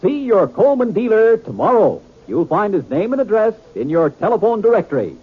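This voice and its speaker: A man's voice: high-pitched, big pitch swings, fairly quick, husky. A man reading a scripted vintage radio commercial as an announcer. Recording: tinny, band-limited vintage radio recording.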